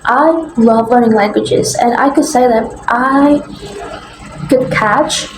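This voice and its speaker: A young woman gives an informal, earnest explainer to camera, haltingly repeating and rephrasing her words. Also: fairly high pitch, fairly even intonation. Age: young adult. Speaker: language-learning content creator. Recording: echoey room with faint background noise.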